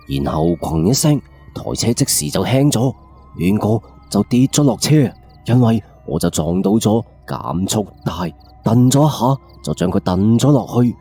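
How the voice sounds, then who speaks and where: A man's voice: lowish voice, mellow, slightly rough. A man with a Cantonese accent narrating a first-person ghost story in a colloquial, suspenseful late-night radio style.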